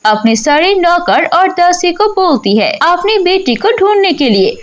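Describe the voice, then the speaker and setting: Female storyteller, very high pitch, slow measured pace, scripted children's tale narration. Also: big pitch swings.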